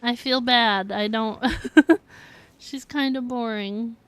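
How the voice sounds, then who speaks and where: A woman's voice: a little high, steady tone, measured pace, a bit bright, clean tone, no echo, soft background music. A woman streaming a video game with casual, giggly, self-deprecating commentary. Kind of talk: casual live gameplay commentary.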